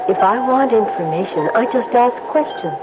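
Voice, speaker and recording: A woman's voice: on the high side, expressive, natural voice. A woman playing a teasing, seductive mystery woman. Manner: purring, teasing, unhurried. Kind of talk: 1970s radio drama acting. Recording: tinny lo-fi old radio broadcast with loud hiss.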